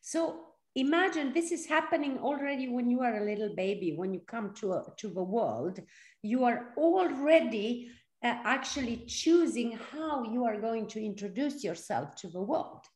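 A middle-aged woman from Serbia and Sweden, teaching conversationally as a seminar facilitator, in short chunks with pauses, on a video call. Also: a little high, lively intonation, darkish tone. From born in Serbia, grew up in Sweden. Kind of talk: conversational teaching talk for live interpretation. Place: indoor room, video call. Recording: echoey room.

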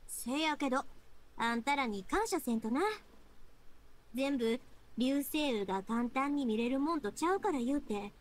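An actress voicing an exuberant, warm-hearted young woman in an anime game, effusive and expressive, with a Kansai accent. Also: sits high, unhurried. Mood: cheerful. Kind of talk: Japanese anime game voice acting.

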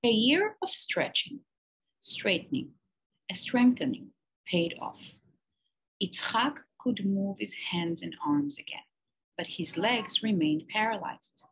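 Slow, husky woman reading a storybook. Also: medium pitch, rough recording. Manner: slow, expressive storytelling with emphatic pauses. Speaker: teacher reading to children. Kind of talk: reading a children's picture book aloud.

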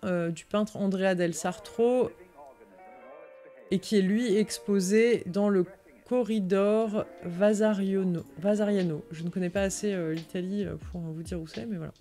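Female livestream co-host, mid-pitched and soft-edged, translating live, faint music underneath. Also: steady tone, unhurried. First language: French. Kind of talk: live translation and commentary of an encyclopedia article. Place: indoor room, livestream.

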